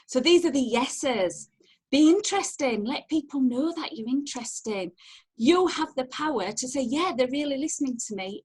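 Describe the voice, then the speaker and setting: A middle-aged woman with a Sheffield accent giving an informal online training talk from home, chatty, animated and direct. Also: fairly high pitch, slightly rough. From Sheffield, England. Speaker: empowerment coach giving online networking training. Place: at home on a video call. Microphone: video call, wearing headphones.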